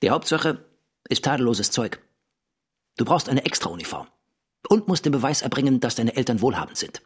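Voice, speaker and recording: An actor playing a blunt, discouraging navy petty officer with a husky voice in a radio drama. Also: male voice, medium pitch, big pitch swings, moderate pace. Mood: blunt, knowing.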